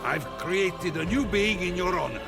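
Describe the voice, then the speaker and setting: A blustering king of gods from a video game, grandiose and volatile. The voice sits high and swings widely, with music behind it. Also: lively intonation, moderate pace. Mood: proud.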